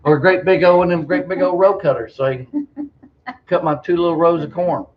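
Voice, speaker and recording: A man's voice: high-pitched, fairly even intonation, brisk, lo-fi sound. A man with a Southern US drawl, co-hosting a home livestream, chatting in a joking, folksy way. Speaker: co-host, retiring from his job. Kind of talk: casual chat.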